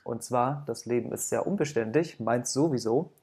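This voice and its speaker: A man's voice: mid-range voice, normal speed, darkish tone, breathy edge. A young man in his twenties with a Hamburg German accent, hesitantly greeting viewers in an old vlog clip recorded on an earphone mic. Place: small room indoors. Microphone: earphone headset mic.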